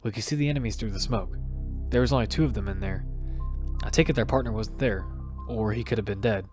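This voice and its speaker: A man's voice: on the low side, quick pace, lightly acted. A man playing a blunt young survivor of a deadly battle game, narrating his own story in an audio drama. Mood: grave narrating. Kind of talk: first-person narration in an audio fiction. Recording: music loud behind the voice.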